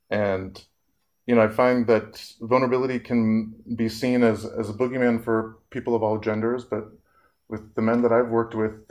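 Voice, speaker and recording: Low, gravelly man giving a talk. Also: a little mellow. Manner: earnest, measured, with frequent fillers and pauses. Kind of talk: reflective public talk.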